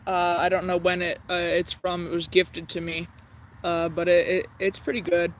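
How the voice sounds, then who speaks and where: A man chatting casually on a live radio-tuning stream in a halting, low-key, hesitant way. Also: flat delivery, slight background noise.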